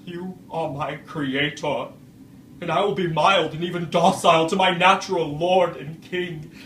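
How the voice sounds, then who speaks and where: A man playing Frankenstein's creature, wretched, anguished and vengeful, in a theatrical, archaic stage monologue with deliberate oratory. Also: on the high side, fairly bright tone. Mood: pleading. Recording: roomy, distant microphone, faint noise.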